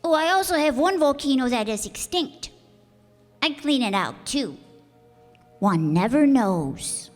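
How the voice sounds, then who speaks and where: Woman as a high, lilting little boy prince. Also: sing-song, measured pace, long pauses. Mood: earnest. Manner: earnest, wistful. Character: earnest, innocent, wistful little boy prince. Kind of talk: theatrical radio play acting. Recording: echoey hall with music bed.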